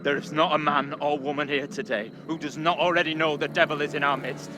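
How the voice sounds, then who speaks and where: An actor playing a self-righteous, accusing Puritan reverend in a video game, preaching in sanctimonious, archaic oratory. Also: male voice, a little high, fairly even intonation, fairly quick, music in the background, close to an everyday voice. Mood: grave, accusing. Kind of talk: dramatic video game acting.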